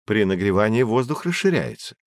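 Lively, theatrical male guide. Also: lowish voice, brisk, no room sound, studio quality. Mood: patient, explanatory. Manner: didactic, theatrical, emphatic. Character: knowledgeable patient male guide teaching children. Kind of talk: scripted children's educational audio drama.

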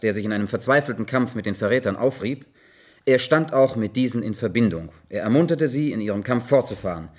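A man's voice: medium pitch, natural voice. An actor playing an earnest, methodical male investigator in a German radio play, speaking formally, precisely and insistently.

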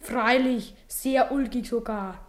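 Sad schoolboy hiding stuff, short guarded answers, highish voice, radio play. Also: female voice, slow. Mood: guarded, hiding sadness. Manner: terse, guarded. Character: Martin, proud, sad schoolboy hiding his troubles. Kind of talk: German radio play acting.

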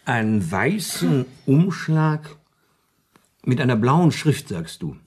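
Shrewd haggler, male, husky mid-pitched voice, deliberate, persuasive, radio drama. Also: sing-song, exaggerated voice. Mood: doubtful. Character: shrewd, haggling man called Hubert. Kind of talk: German radio play dialogue.

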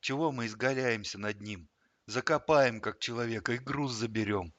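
A man's voice: medium pitch, normal speed, fairly bright tone, no room sound, dull audio, natural voice. A man voicing a hapless, whiny gangster henchman in an audiobook story, hesitant and given to wailing laments. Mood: exhausted, desperate.

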